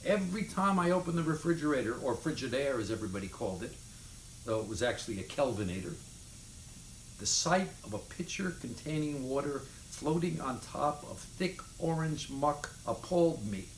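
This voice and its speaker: An echoey room with faint noise surrounds a man reading memoir prose aloud. His voice sits at a medium pitch, and he delivers the lines with deliberate emphasis, stressing names and items as a storyteller would. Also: animated. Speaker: reader of a memoir chapter. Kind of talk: expressive live reading of humorous memoir prose. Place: indoors in a city apartment.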